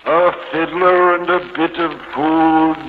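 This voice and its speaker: An actor playing a solemn, questioning spirit guide with a measured, stately manner in a 1950s radio drama. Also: male voice, a little high, little pitch movement. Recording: very noisy, dull old radio broadcast.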